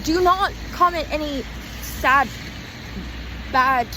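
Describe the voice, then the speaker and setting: A young adult woman talking in a casual, rambling video diary, halting and candid, often interrupting herself. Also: high-pitched. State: physically tired. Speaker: vlogger and acting student. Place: outdoors on an urban walk. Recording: outdoor street noise, passing cars. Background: traffic and city ambience.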